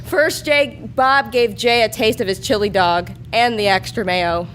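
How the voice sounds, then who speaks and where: A woman's voice: fairly high pitch, measured pace, fairly bright tone, a little hiss or hum, poor audio. A woman performing a live reading of a comic adult story as narrator. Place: live show before an audience.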